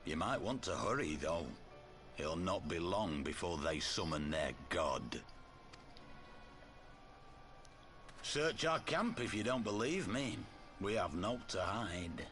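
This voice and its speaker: An actor playing a gruff, solemn and fervent male resistance fighter in a video game cutscene. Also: low voice, big pitch swings, unhurried, fairly bright tone, faint music behind, heavily acted. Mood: curt.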